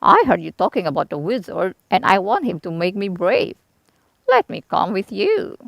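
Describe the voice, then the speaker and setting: A woman's voice: mid-range voice, sing-song, normal speed, creaky, dry recording. Woman doing a timid, pleading storybook lion. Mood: frightened, pleading. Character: cowardly timid male lion seeking bravery.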